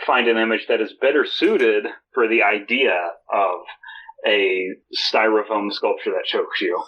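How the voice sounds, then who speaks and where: Husky guy bantering on a podcast, kinda halting n sweary, muffled lofi mic. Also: on the low side, steady tone. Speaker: podcast co-host. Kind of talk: casual comedic podcast chat. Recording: dull lo-fi voice audio.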